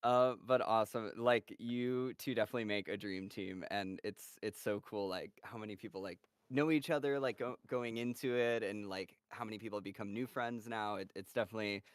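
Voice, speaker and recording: A man with a fairly low voice hosts a relaxed group chat. He sounds upbeat and laughs easily between questions. The sound is dry and close, with no room around it. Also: a little fast, few pauses, clean tone, dry recording. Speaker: host of cast Q&A. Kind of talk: casual chat.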